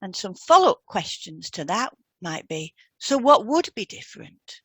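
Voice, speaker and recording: A woman's voice: mid-range voice, very animated, moderate pace, husky, dry recording. Woman presenting, dry close sound. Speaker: podcast co-host and hypnotherapy trainer. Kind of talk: scripted educational podcast dialogue, read aloud.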